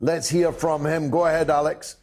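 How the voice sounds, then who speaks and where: A man hosting a radio phone-in, brisk and quick to cut in on callers. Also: a little high, fairly even intonation, darkish tone.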